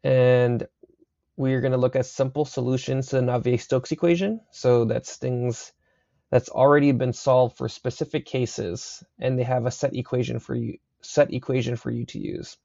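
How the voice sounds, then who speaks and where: A young man recording a university engineering lecture late at night, his voice raspy, talking casually with many fillers and checks like "right?". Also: mid-range voice, fairly even intonation, moderate pace, warm tone, no room sound. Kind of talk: recorded lecture over slides. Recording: slightly dull dry recording.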